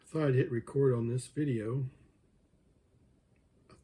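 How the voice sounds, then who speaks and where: A man who is losing his voice, hoarse, thinking aloud over an online chess game and joking with himself as he plays. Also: medium pitch, steady tone, unhurried, warm tone. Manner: mutters his thoughts, talks to himself, jokes self-deprecatingly. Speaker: chess video creator.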